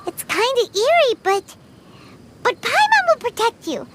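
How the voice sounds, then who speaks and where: A woman voicing a small, childlike, loyal fairy companion in a video game, with a squeaky, exclamatory delivery. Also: wildly swooping pitch, a little slow, heavily acted. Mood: puzzled, earnest. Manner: exclamatory, refers to self in third person. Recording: loud music behind the voice.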